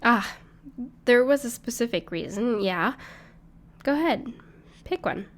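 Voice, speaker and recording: A woman playing a gentle, bashful dragon in human form who loves cuddly things, speaking tenderly and reassuringly in an intimate audio roleplay. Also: on the high side, animated, unhurried. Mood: cozy, reassuring. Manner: tender, reassuring, playful, slightly hesitant. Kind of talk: soft-spoken intimate audio roleplay to the listener.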